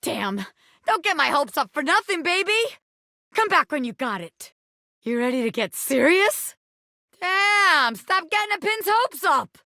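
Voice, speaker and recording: A scrappy little ex-gangster turned showgirl for a video game. The voice is high and forceful, swinging widely in pitch with cocky, streetwise swagger. It is recorded very dry and close. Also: sing-song, unhurried, no echo, full character voice. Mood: impatient. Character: brash, scrappy bobby pin, ex-gangster turned flirty magician showgirl. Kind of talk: animated video game character acting.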